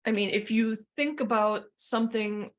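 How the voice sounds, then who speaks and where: A woman's voice: on the high side, moderate pace. A woman trainer talking informally in an online workshop, explaining and offering practical suggestions as she answers questions.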